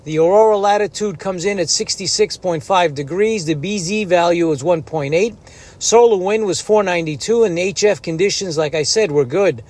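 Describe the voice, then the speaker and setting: A man's voice: high-pitched, normal speed, darkish tone. A man who makes amateur radio videos, speaking casually as he narrates and operates.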